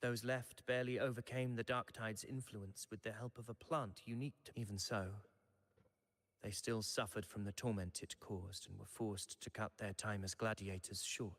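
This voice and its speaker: A burdened gladiator veteran from a fantasy game. His lowish voice is grave, formal and sorrowful, with long hall reverb behind it. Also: level tone, normal speed, a bit bright. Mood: grave, regretful. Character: solemn male gladiator veteran burdened by comrades' suffering. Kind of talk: dramatic fantasy game voice acting.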